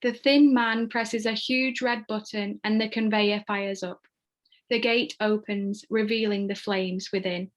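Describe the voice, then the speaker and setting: A woman's voice: mid-range voice, steady tone, unhurried. A woman narrating screenplay stage directions at a table read. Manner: reads screenplay action descriptively.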